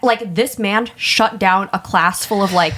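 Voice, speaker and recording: A woman's voice: medium pitch, unhurried. A young American woman co-hosting a casual podcast, telling stories candidly and acting out quotes as she goes. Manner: candid, animated storytelling with quoted asides and heavy swearing.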